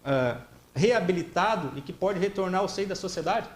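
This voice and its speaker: A man on a TV panel debate, calmly and methodically explaining legal points. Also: high voice, moderate pace, reverberant. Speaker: legal commentator, panel guest.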